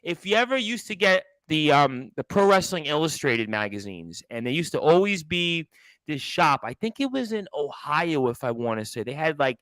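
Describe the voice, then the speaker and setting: A talkative man hosts a wrestling chat show, his voice sitting a little high for a man. He rambles and circles back on his questions. The sound is dry and close, with no room around it. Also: very animated, normal speed, fairly bright tone, no echo, rough recording. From Brooklyn, New York. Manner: chatty, rambling, repeats himself. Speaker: radio show host. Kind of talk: casual interview chat.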